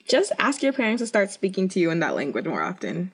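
A woman's voice: medium pitch, huge pitch swings, fast talker. A young woman with a mid-range voice co-hosts a casual talk video. She speaks in an upbeat, easygoing way and uses everyday slang. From United States. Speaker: co-host.

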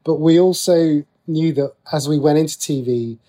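A man's voice: on the high side, normal speed, mellow. A man answering interview questions as a writer, talking casually and breaking into his own sentences as he explains. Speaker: guest, TV and radio writer.

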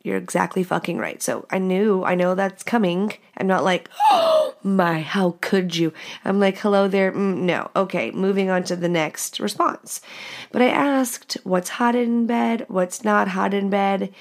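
Raspy, congested woman talking frankly. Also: mid-range voice, animated, measured pace. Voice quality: raspy, nasal and congested from a cold. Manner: blunt, profane and candid, laughing at herself. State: congested with a cold. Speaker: podcast host. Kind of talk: casual solo podcast monologue.

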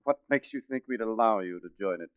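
A man's voice: on the low side, brisk, dry recording, lightly acted. An actor as a sneering, suspicious man, tinny. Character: sneering, suspicious brother-in-law of a swindler. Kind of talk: 1940s radio drama acting. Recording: tinny 1940s radio recording.

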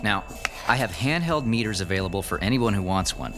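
A man's voice: lowish voice, lively intonation, a little fast, music in the background, close to an everyday voice. An actor playing a flippant young ghost-hunting tech guy with a casual, wisecracking manner in a radio horror drama. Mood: upbeat, businesslike. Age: young adult.

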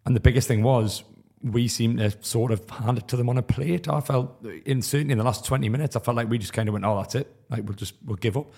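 A man with a husky voice, a podcast panelist going through the match in a measured, analytical way. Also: medium pitch, a little mellow. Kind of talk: football podcast discussion.

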